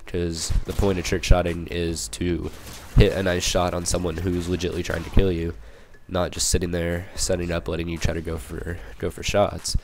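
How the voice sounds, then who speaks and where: A young man with a cold, hoarse and a little raspy, rambling through casual gameplay commentary with many fillers and restarts. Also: sits low, level tone, normal speed, few pauses, darkish tone, slight background noise. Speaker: gaming channel commentator.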